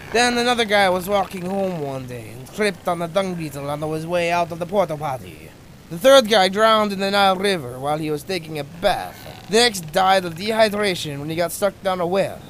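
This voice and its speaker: A man's voice: sits high, fairly bright tone, a little hiss or hum. A man doing a nerdy ship scientist. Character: nerdy male ship scientist, grumbling crewman. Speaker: puppet show character. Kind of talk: amateur puppet show voice acting.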